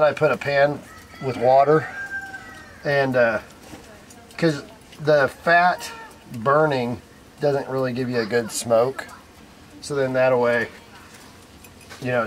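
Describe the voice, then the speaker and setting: A man visiting a friend's farm, calmly explaining cooking tips in casual conversation. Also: mid-range voice, unhurried, long pauses, poor audio. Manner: relaxed, explaining smoking tips. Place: outdoors on a farm by a smokehouse. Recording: loud outdoor noise.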